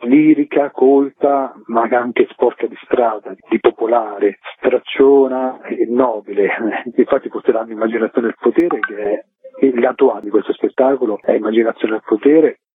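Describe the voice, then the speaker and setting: A husky-voiced man speaks as an interview guest over a telephone line with a noticeable echo. The sound is narrow and boxy. He explains things at length as a festival co-director, in flowing, detailed sentences. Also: medium pitch, moderate pace. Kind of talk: radio phone interview, explanatory rundown.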